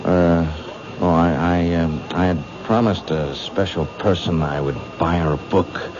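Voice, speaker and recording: Low-voiced, menacing young killer. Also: male voice, fairly even intonation. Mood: evasive, edgy. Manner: halting, stammering, slangy. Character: menacing, hesitant young man, a killer with a promise. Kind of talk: 1970s radio drama acting. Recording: dull, noisy old radio recording.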